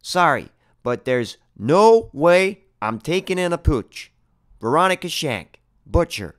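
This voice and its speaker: A man voicing a gruff, blunt woman butcher in a storybook read-aloud. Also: on the high side, sing-song, takes it slowly, fairly bright tone, pitched above the performer's own voice, heavily acted. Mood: gruff, grudging. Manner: blunt, brusque.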